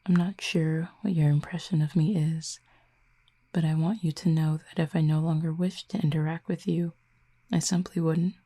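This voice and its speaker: A woman voicing a calm, reserved, quietly fond cupid in an intimate audio roleplay, speaking softly in measured, formal, gently teasing phrases. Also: sits low. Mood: calm, frank. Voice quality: soft, breathy, hushed.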